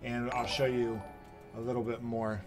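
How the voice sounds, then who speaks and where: A man in his thirties talks through his gameplay in a relaxed, joking way. His voice sits in an ordinary middle pitch. Light background music runs underneath. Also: moderate pace. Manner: chatty, playful. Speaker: gaming channel host. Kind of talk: casual gameplay commentary. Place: home recording room.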